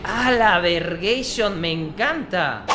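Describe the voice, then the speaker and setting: Young male reactor, Russian native, mixed Spanish accent, excitable, headset mic, faint background hiss. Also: high-pitched, slow pace. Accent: mixed Argentine, Mexican and Spain Spanish. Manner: excitable, bursts into shouts of excitement. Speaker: YouTube reactor. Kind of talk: reacting to a video. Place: home streaming room with gaming chair.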